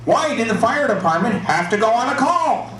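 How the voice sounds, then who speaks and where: A man's voice: very high-pitched, big pitch swings, moderate pace, a bit bright, exaggerated voice. A man voicing an inquisitive, instructive puppet in a children's safety show, speaking in simple, didactic lines. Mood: earnest, instructive. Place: live show in a room, recorded from the audience. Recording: roomy, distant live show recording, faint noise.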